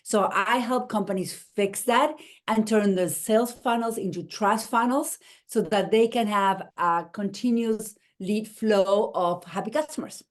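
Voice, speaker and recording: A middle-aged woman with an Argentine Spanish accent talks through her ideas on a call. She explains earnestly at a middle pitch, sometimes restarting a phrase before she finds the words. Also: a little slow, hardly any pauses. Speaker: guest, marketing strategist. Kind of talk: interview over a call.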